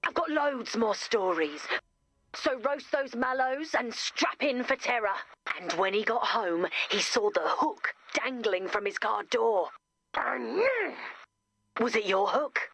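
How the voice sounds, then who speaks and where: A chipper video game camp counselor. Her voice is fairly high and lively, rising and falling theatrically like a campfire storyteller, recorded close and dry. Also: slow, dry recording, full character voice. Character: chipper, theatrical female camp counselor telling spooky stories. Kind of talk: video game character acting.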